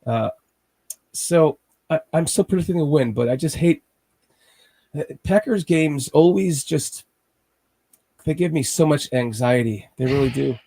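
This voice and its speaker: A man hosting a casual sports podcast. He has a cold and sounds slightly raspy, and he rambles with frequent restarts, like a nervous fan. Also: fairly high pitch, a little slow, pauses often, mellow. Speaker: podcast host.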